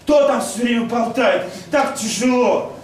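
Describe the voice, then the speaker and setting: Male poet-host, middle-aged, high declamatory delivery, recorded live in a cavernous echoing room. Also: measured pace, slight background noise. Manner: loud, declamatory. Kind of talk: live poetry recital and hosting. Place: rock club stage and floor, indoors. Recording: echoey club hall, distant camera microphone.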